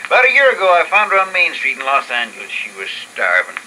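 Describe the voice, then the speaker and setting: A folksy easygoing drifter. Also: male voice, fairly high pitch, huge pitch swings, fast, bright, forceful tone, a little hiss or hum, full character voice, low-quality recording. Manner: drawling folksy talk, plainspoken. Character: folksy drifter man, easygoing, adoptive father. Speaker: character in a radio drama. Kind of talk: vintage radio drama acting.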